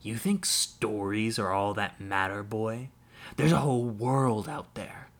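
Guy playing a drunk ranting uncle, shouty and sneering, storybook voice. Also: lowish voice, fairly even intonation, a little slow. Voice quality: loud, snarling, rising to shouts. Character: drunk bitter ranting uncle, middle-aged man. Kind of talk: audiobook character voice.

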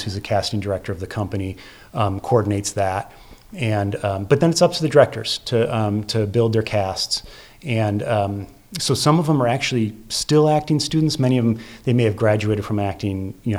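A man with a fairly low voice talks as an interview guest. He explains things conversationally, working out his thoughts aloud. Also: normal speed, a little mellow. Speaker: interview guest, theatre festival curator and producer.

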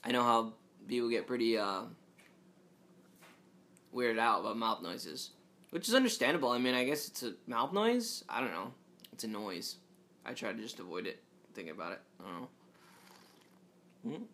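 Rambling male podcast host, bright voice. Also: normal speed, pauses often. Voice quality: low monotone voice, rambling with many restarts and fillers. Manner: joking asides to listeners, chuckles. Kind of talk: casual solo podcast monologue.

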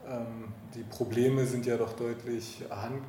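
A man's voice: medium pitch, steady tone, slow, warm tone. A man interviewing casually and unscripted, tentative as he searches for words and corrects himself. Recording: roomy echo, clear background noise.

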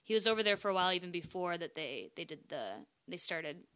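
A woman's voice: lowish voice, sing-song, fast, a bit bright, smooth. An American woman co-hosting a podcast, chatting casually and laughing often. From United States. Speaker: podcast co-host. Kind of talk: casual chat.

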